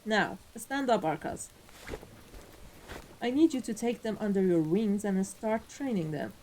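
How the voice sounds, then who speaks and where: A woman playing an amused, ruthless vampire mafia boss in a scripted ASMR roleplay, speaking in a deliberate, theatrical, condescending way. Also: medium pitch, animated, dark tone, a little hiss or hum, natural voice, poor audio. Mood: pleased, commanding. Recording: voice over background music.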